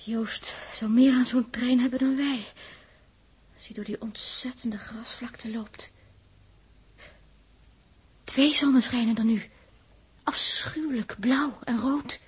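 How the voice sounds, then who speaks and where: An actress playing a wistful young woman space traveller who sees visions, in a breathy, slow, dramatic 1960s Dutch radio drama style. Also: a little high, steady tone, heavily acted. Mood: uneasy, hushed.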